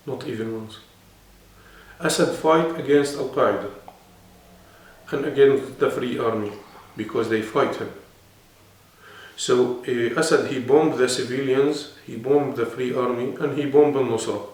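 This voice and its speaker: A man's voice: mid-range voice, measured pace. A man with an Arabic accent, speaking non-native English and explaining earnestly as a guest in a serious interview. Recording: echoey room, mic at a distance.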